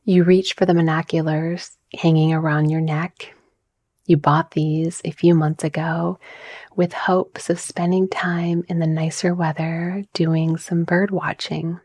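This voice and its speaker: A woman softly narrating a guided relaxation visualization in a breathy, soothing, measured manner. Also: low-pitched, level tone, no room sound. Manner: soft, breathy, soothing, gently measured delivery. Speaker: guided meditation narrator, mindset coach.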